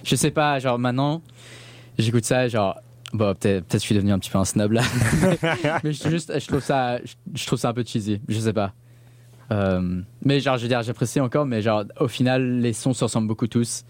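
A man's voice: mid-range voice, fairly quick, darkish tone, no room sound. A young man from Belgium, born in northern England, chatting casually as an interview guest in informal, slangy speech mixed with English words. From born in northern England, grew up in Belgium. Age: young adult.

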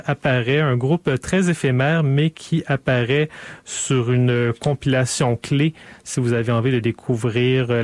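A man hosting a radio music show, relaxed and often hesitating. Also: mid-range voice, no room sound. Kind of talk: casual radio presentation.

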